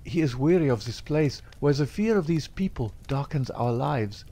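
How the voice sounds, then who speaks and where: A man's voice: medium pitch, expressive, moderate pace, warm tone, voice pushed up. A man reading aloud, voicing a young woman who anxiously pleads with her outlaw lover. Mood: pleading. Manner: imploring, breathless, emotional.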